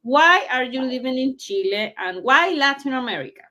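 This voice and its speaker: A woman hosting an interview, encouraging her guest while speaking haltingly and repeating words. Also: high-pitched, animated, bright, forceful tone. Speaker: host. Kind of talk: casual interview.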